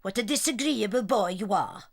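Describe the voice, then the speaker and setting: A woman's voice: medium pitch, lively intonation, moderate pace, vocal fry. An actress playing a shrewd, affectionate old grandmother in an audio drama, matter-of-fact and conspiratorial. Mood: brisk, conspiratorial. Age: older. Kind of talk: audiobook full-cast drama.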